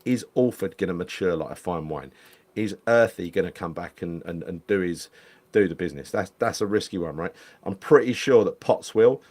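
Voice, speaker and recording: A man's voice: low voice, normal speed, a little mellow. Chatty man hosting football talk. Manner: chatty, rambling, repeats phrases. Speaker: podcast host. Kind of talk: casual football chat reading live comments. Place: home room.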